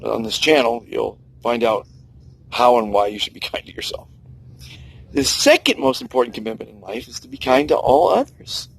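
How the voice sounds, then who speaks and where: Older man, 60s, gravelly husky voice, animated and preachy vlogger speaking outdoors by the sea. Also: low-quality recording. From United States. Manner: earnest, preachy, emphatic with big pitch swings. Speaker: kindness vlogger. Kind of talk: outdoor personal monologue.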